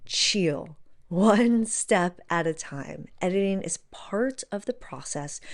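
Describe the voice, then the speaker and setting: A woman hosting a podcast in a casual, animated way, encouraging listeners as she talks to them informally. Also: medium pitch. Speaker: podcast host.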